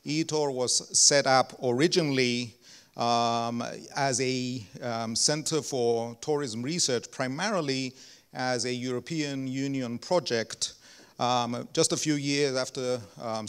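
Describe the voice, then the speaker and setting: A man's voice: medium pitch, a little slow, fairly bright tone, smooth. A man directing a research center, giving opening remarks at an anniversary event, speaking English as a second language with many ums and uhs and self-deprecating humor. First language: not English (non-native speaker).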